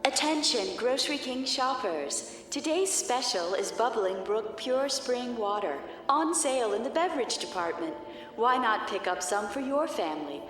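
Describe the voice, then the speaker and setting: An actress playing a perky supermarket announcer, reading a salesy scripted store announcement in a radio drama. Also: female voice, fairly high pitch, animated, normal speed, a bit bright. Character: perky female supermarket announcer pitching a special. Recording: echoey store PA, clear background noise.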